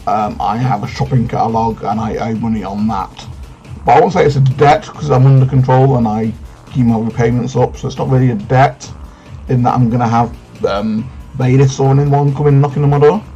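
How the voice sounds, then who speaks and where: A man, answering personal questions in a frank, hesitant way with many pauses and ums. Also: medium pitch, steady tone, warm tone, lo-fi sound, soft background music. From England. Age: 30s. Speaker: vlogger. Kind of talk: casual Q&A vlog.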